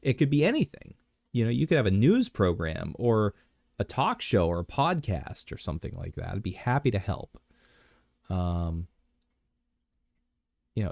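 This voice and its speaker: Male streamer, low voice, under the weather, rambly casual chat with lots of ums, dry close mic. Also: gravelly, darkish tone, no echo. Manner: rambling, many fillers and restarts. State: sick, clearing throat.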